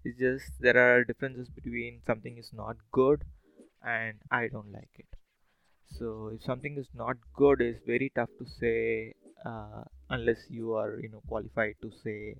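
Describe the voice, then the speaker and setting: A man's voice: mid-range voice, little pitch movement, moderate pace, gravelly, fairly bright tone. A man hosting a podcast solo, talking casually in a rambling, hesitant, informal way. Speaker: podcast host.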